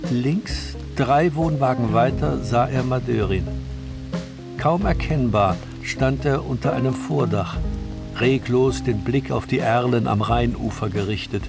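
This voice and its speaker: A male narrator tells a crime story for a radio drama. His mid-pitched voice moves at a slow, measured pace, with guitar music clearly behind it.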